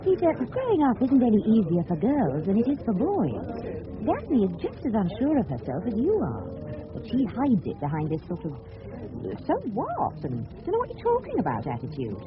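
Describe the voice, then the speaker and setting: A woman's voice: mid-range voice, huge pitch swings, normal speed. An actress playing a worldly, kindly, teasing Englishwoman in a radio drama, well-spoken and confiding. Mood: reassuring. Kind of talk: BBC radio drama acting. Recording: dull old radio recording, music behind.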